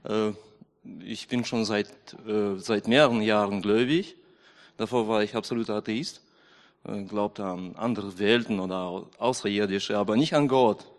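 Low-voiced hesitant man in a church. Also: moderate pace. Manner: hesitant, with fillers. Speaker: baptism candidate. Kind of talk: personal testimony in church. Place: church hall. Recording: reverberant church hall.

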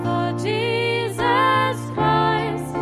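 A woman singing a worship song slowly, with the band behind her. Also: extremely high pitch, fairly even intonation, fairly bright tone. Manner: slow sustained singing. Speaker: worship singer. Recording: reverberant church hall with music. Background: worship band music.

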